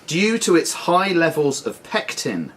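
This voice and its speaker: A man reading questions and giving rulings as quizmaster, deliberate and formal. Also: fairly high pitch, big pitch swings, fairly bright tone. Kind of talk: reading quiz questions at a live club quiz. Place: sports and social club room. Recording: clearly noisy live club recording.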